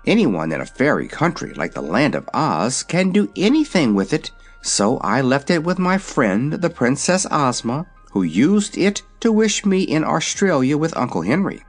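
A man's voice: fairly high pitch, very animated, moderate pace, few pauses, faint music behind, voice pushed up. A man voicing a cheerful, confident young girl in a fairy-tale story. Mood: bright, confident. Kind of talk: storybook character voice.

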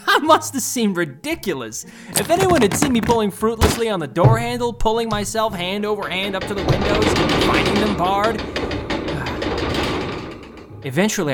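An actor playing an anxious, self-doubting prisoner who tells his own story confessionally in an audio drama. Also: sits high. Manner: confessional, emotionally swinging storytelling.

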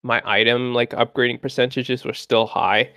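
A man's voice: medium pitch, fairly even intonation, moderate pace, bright, forceful tone. A man in his twenties chats as a guest on a gaming podcast. He rambles along in a loose, chatty way, full of likes and uhs. The sound is dry and close, with no room echo. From Canada. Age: 20s. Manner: rambling, chatty, lots of fillers. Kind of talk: casual gaming podcast chat.

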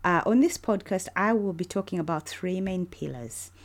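A woman's voice: on the low side, animated, normal speed. A middle-aged woman from Uganda hosting a faith-based podcast, speaking in an earnest, encouraging way. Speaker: podcast host.

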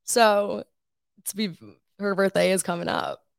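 Young long island woman, raspy-fry voice, chatty storyteller, dry close sound. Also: mid-range voice, huge pitch swings, a little slow, no room sound. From Long Island, New York. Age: 20s. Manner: chatty storyteller, laughing often. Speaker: podcast co-host. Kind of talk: casual chat.

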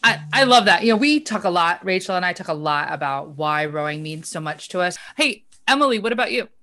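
A woman's voice: lowish voice, lively intonation, fairly quick, sharp tone. A woman co-hosting an interview, asking questions in an encouraging, friendly way. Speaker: podcast co-host.

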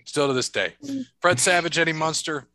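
Bright, dry-voiced man telling stories. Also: on the high side, moderate pace, dry recording. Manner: rambling storyteller, laughs often. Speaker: podcast host. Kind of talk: casual chat.

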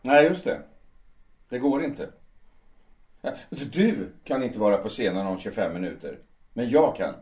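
A man's voice: on the low side, animated, darkish tone, lightly acted. A man playing a vengeful, menacing understudy. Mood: menacing calm. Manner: cold and deliberate, swelling into fervent outbursts. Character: bitter vengeful male understudy, calm and menacing. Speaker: understudy singer in a theatre. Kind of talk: amateur audio drama acting. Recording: roomy, distant mic.

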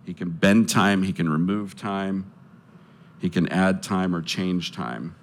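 Low-voiced man giving an earnest testimony. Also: slow, slight background noise. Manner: earnest, hesitant, many fillers and restarts. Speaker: speaker sharing testimony and orphanage appeal. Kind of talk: casual testimony talk to a live audience.

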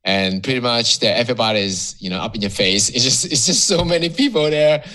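Bright-voiced man from Hong Kong. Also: medium pitch, expressive. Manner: upbeat, halting with repeated words. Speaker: guest entrepreneur. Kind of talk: casual interview conversation. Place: Miami.

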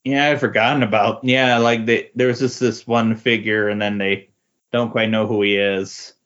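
A man's voice: on the low side, level tone, normal speed, a bit bright. A man chatting casually about comic books as a podcast guest, in a hesitant, agreeable manner. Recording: dull, lo-fi recording.

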